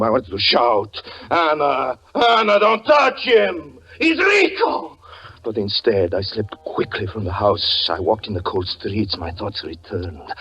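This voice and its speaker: An actor playing a tormented, brooding stage magician in a 1940s radio suspense drama, intense and theatrical with halting dramatic pauses. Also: male voice, lowish voice, slightly rough, exaggerated voice. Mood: hushed, anguished, then frantic. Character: tormented stage magician confessing jealous obsession, anguished and brooding. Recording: muffled 1940s radio broadcast with music.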